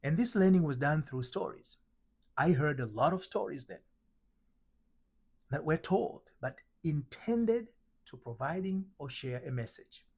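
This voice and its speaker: A middle-aged man from Tanzania giving a spiritual teaching talk, slow and emphatic, asking rhetorical questions. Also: fairly high pitch, lively intonation, a little mellow, breathy edge. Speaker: identity transformation coach.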